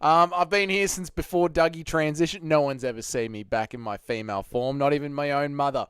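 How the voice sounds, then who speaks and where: Excitable Australian man streaming live. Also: a little high, brisk, clear, resonant tone, dry recording, clean studio sound. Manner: excitable, swears, slangy banter. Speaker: streamer host. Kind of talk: live stream chat with viewers.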